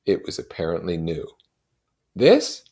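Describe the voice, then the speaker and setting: A man reading literary prose aloud as an expressive narrator. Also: low-pitched, normal speed, vocal fry. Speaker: reader.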